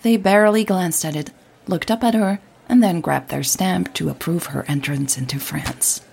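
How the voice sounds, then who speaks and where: A woman with a husky, breathy voice narrating a scripted audio drama story. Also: lowish voice, moderate pace, darkish tone.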